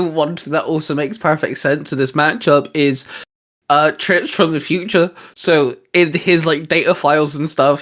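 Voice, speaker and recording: A man's voice: on the high side, fairly bright tone. A man hosting a fan discussion show in an enthusiastic, emphatic presenting manner. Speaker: host. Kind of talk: scripted intro, then casual fan discussion.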